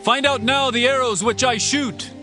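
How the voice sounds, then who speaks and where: High, bright impassioned young prince played by a man. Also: fairly even intonation, normal speed, soft background music. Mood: urgent. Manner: urgent, declamatory. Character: earnest, impassioned young prince and loyal friend. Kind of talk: dramatized scripture reading, archaic English.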